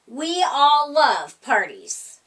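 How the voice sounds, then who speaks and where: Woman voicing a motherly rabbit, high and animated. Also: extremely slow, far from the mic, a little hiss or hum, full character voice, poor audio. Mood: delighted. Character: affectionate mother rabbit, devoted to her babies. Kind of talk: children's storybook character reading.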